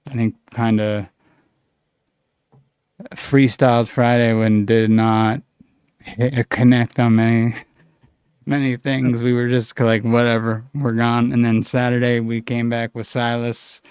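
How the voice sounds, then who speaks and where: Smooth mellow-voiced male co-host. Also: mid-range voice, takes it slowly, no echo, clean studio sound. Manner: relaxed, chatty, rambling. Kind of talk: casual chat.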